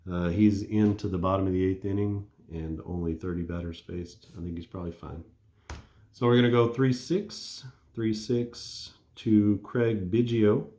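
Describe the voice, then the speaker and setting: A man with a low, warm voice talks through a tabletop baseball replay at an easy, conversational pace. He thinks aloud between rolls as if calling the game himself. His voice rings a little in a roomy, echoing space. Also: moderate pace. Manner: relaxed and conversational, thinking aloud while rolling. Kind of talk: casual play-by-play of a dice baseball game.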